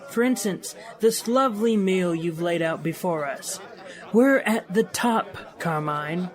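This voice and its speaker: An actor playing a genial, self-assured high-society man in an audio drama, soothing and self-satisfied. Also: slow. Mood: smug reassurance. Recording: music and faint crowd behind.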